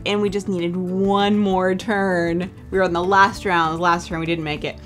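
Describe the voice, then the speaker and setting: A woman's voice: on the low side, little pitch movement, moderate pace. Woman in her thirties, host of a game review chat, upbeat and talkative, faint music behind. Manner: upbeat, chatty, asks follow-up questions. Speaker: board game channel host. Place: indoor studio with game shelves.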